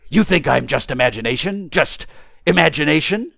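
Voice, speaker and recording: A man's voice: fairly high pitch, expressive, normal speed, creaky, fairly bright tone, dry recording, clean studio sound, voice pushed up, heavily acted. A man voicing an impatient invisible man in a storybook, commanding and menacing, with an emphatic, controlled manner. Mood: insistent. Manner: insistent, emphatic, self-controlled. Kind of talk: acted audiobook storytelling.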